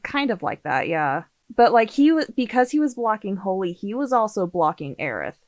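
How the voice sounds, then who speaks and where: A woman's voice: mid-range voice, sing-song, moderate pace, no room sound. A woman chatting casually as a podcast panelist, an enthusiastic and knowledgeable fan.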